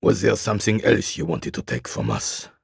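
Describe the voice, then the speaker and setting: A proud, embittered old soldier from a video game. His voice is heavily creaky and husky, with curt, scornful delivery that rises and falls in animated swings. He sounds dismissive and full of contempt for weaklings. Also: deep voice, normal speed, no room sound, studio quality, full character voice. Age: older. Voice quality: gravelly, raspy. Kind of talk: video game character dialogue.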